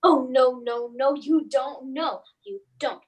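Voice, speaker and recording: Woman acting a terrified, very high-voiced mother. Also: slow pace, breathy edge, exaggerated voice. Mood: terrified pleading. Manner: faltering, repetitive, pleading. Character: Mrs. Morris, terrified, pleading mother. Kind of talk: radio play acting.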